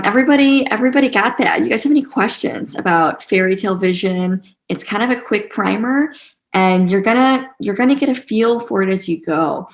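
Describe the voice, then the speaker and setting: Creaky-voiced woman guiding an online class. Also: mid-range voice. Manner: gentle, encouraging, repeats phrases for emphasis. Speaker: teacher leading an online spiritual class. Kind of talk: casual guided teaching to a live online group.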